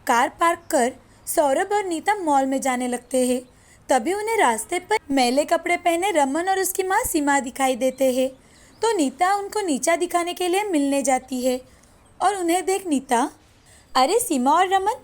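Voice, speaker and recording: A woman's voice: sits high, animated, moderate pace. A woman reading a scripted moral story as its narrator, in storybook style.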